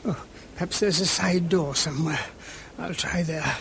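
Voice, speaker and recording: A man with a British accent playing a gentle, inquisitive priest-detective in a radio drama, musing aloud politely and a little hesitantly. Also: a little high, moderate pace, creaky, noisy background. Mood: mildly impatient. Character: gentle, inquisitive, mild-mannered English priest-detective, male.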